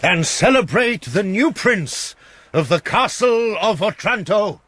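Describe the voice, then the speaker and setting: A man's voice: high voice, expressive, slow pace, fairly bright tone, no echo, heavily acted. An actor playing a noble father in a gothic radio drama, speaking in a stately, declamatory manner. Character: noble father granting his daughter in marriage.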